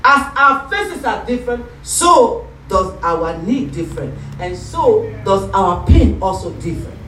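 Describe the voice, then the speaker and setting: A woman pastor preaching a sermon, calling for responses and rising into shouts. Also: medium pitch, sing-song, unhurried, recorded from a distance, a little hiss or hum. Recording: echoey room, voice from off-mic.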